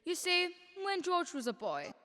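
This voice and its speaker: Commanding, exasperated chief angel, played by a young woman: high, bright, emphatic, long heavenly reverb. Radio drama. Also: wildly swooping pitch, unhurried, heavily acted. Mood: instructive. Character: authoritative, exasperated superintendent of angels briefing a trainee. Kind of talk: stage-like radio drama acting. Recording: long hall-like reverb effect.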